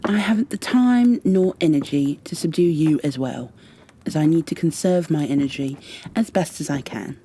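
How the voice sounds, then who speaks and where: A woman playing a stern high elf warrior in an ASMR roleplay, with formal, commanding, impatient phrasing and a soft, breathy delivery that often drops toward a whisper. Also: a little hiss or hum. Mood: stern, scornful. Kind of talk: ASMR roleplay, scripted in-character monologue.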